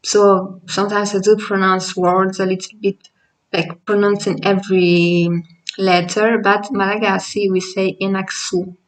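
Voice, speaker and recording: A woman with an Italian accent, Italian being her first language, explains a language lesson at an unhurried, patient pace, pausing often with fillers. Her voice sits at a middle pitch and is picked up from a little way off the mic. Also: little pitch movement, recorded from a distance, poor audio. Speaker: language teacher.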